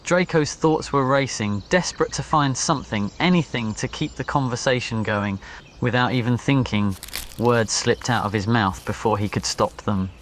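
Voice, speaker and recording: A man's voice: medium pitch, moderate pace, slight background noise. A man reading a scripted ASMR story as its narrator. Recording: nature ambience behind voice. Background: crickets, birds and insects.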